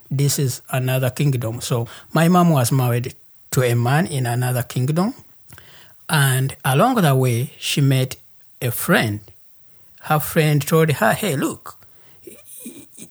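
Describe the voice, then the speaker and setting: A man with a Ugandan accent telling a personal story as an interview guest, in deliberate, halting phrases. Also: medium pitch. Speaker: interview guest, human rights activist.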